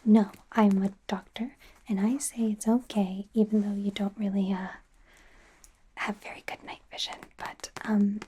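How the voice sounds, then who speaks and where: A woman playing an awkward, eager werewolf trainee doctor in a soft, breathy, hesitant whisper for an ASMR roleplay. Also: mid-range voice, a little hiss or hum, natural voice. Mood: matter-of-fact, playful. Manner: hesitant, rambling, giggly, stammering.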